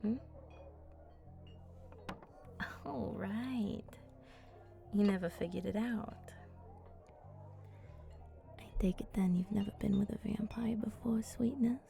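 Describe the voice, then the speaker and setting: Flirty vampire woman for ASMR roleplay, female performer: mellow, breathy and unhurried, coaxing and seductive, music behind. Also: mid-range voice. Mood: seductive teasing. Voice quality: breathy, often dropping to a whisper. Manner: slow, teasing, coaxing.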